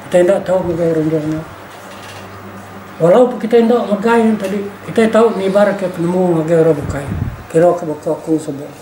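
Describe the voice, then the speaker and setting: A man's voice: high voice, fast, mellow. An older man, speaking informally and emphatically as an interviewee, often repeating himself and adding questioning tags. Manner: emphatic, repetitive, with rhetorical tag questions. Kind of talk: informal spoken reflection. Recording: roomy echo, faint background noise.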